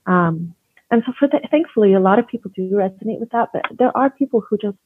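A woman's voice: mid-range voice, lively intonation, fast talker, slight background noise. A woman from Canada, an educator being interviewed, speaking earnestly and thoughtfully with frequent restarts. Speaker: educator and guest. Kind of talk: conversational interview. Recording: dry phone-like line.

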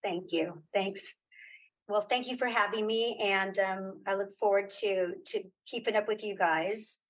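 A woman's voice: mid-range voice, fairly even intonation, measured pace, a little hiss or hum, rough recording. A woman chatting informally as an interview guest, emphatic and encouraging, repeating phrases to make her point. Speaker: interview guest, podcaster and audio drama creator. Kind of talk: casual interview chat.

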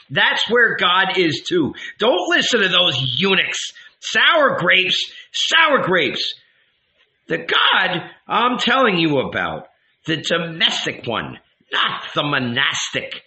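A man voicing a fierce, bitter, toothless old village woman with a shrill, screeching voice, in a dull lo-fi recording. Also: gravelly, sharp tone, exaggerated voice. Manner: swings from motherly coaxing to furious ranting.

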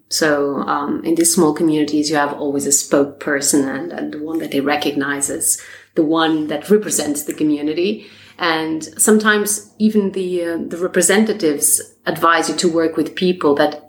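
A woman's voice: low-pitched, steady tone, normal speed, vocal fry. A woman speaking as a guest linguist in an earnest, explanatory way, with some restarts. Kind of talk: podcast discussion. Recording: roomy echo.

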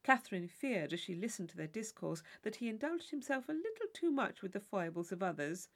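A woman narrating a classic novel from a script, in an expressive, measured storytelling manner. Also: medium pitch. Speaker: audiobook narrator.

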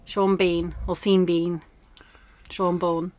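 A woman from Yorkshire co-hosting a casual chat, playful and quick to laugh. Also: fairly low pitch, level tone, darkish tone. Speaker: co-host.

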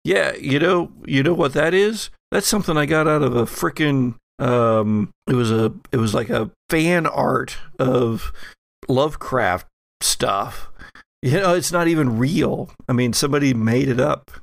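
A man playing a weary, gravelly FBI agent named Owl. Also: mid-range voice, unhurried, no room sound, studio quality. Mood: weary, frank. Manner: hesitant, colloquial, trailing off. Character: weary male FBI agent Owl, wary, reluctantly practical. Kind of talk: improvised in-character tabletop dialogue.

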